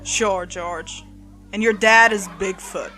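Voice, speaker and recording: A woman's voice: mid-range voice, fairly even intonation, takes it slowly, pushed and bright. Woman acting reluctant teenage girl, sarcastic, exasperated, horror story, faint music behind.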